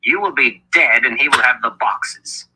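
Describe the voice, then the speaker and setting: A man's voice: mid-range voice, normal speed, bright, forceful tone, slightly rough, voice pushed up, rough recording. A man voicing an old wizard mentor in an audiobook, grave and fatherly, admonishing with emphasis and affection. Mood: earnest warning.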